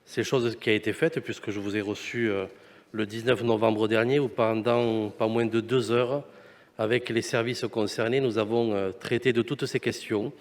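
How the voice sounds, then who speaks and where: A man speaking as a councillor in a formal meeting, replying in a measured, conciliatory and somewhat hesitant way. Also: fairly low pitch, fairly even intonation. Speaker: elected metropolitan councillor responding.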